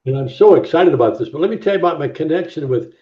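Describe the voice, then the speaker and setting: A man's voice: a little high, animated, quick pace, a little hiss or hum. An older American man from Iowa, an actor telling personal stories as a podcast guest. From Iowa, United States. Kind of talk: casual storytelling. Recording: dull, boxy, echoey connection.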